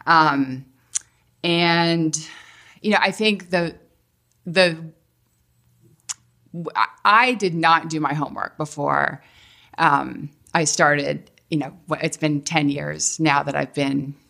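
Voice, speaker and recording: Middle-aged lady w a low gravelly voice, stops and restarts a lot, franchise owner chatting on a podcast. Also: takes it slowly, pauses often, sharp tone. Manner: hesitant, many fillers and restarts. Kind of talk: casual interview talk.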